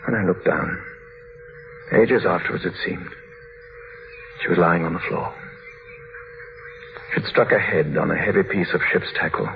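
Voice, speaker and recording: An actor in an old radio drama playing a tormented husband making a grave, halting, dramatic confession on a muffled, noisy vintage radio recording. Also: male voice, deep voice, vocal fry. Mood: haunted.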